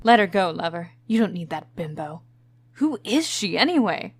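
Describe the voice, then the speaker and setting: A woman's voice: fairly low pitch, very animated, normal speed, noisy background. A woman playing a sultry, possessive, jealous antiheroine lover in a comic dub, with a theatrical manner. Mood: jealous, scornful.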